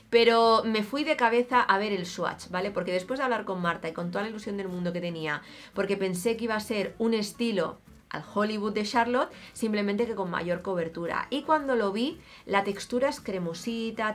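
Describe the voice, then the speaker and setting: A woman in her thirties with an accent from Spain, chatting casually and expressively to camera as an opinionated beauty vlogger. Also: medium pitch. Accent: Spanish from Spain. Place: small home room, indoors.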